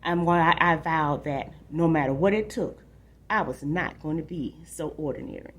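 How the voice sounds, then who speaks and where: A woman's voice: low voice, moderate pace, fairly bright tone, slight background noise. A woman reading a storybook aloud as a restless, imaginative young boy longing for adventure, in a dramatic, confiding way. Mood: frustrated, determined. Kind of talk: expressive storybook read-aloud.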